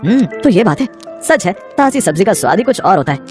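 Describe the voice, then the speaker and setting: A woman's voice: medium pitch, big pitch swings, brisk, a little mellow, soft background music, natural voice. A woman voicing a friendly, chatty young male customer at a food stall in a story. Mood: pleased.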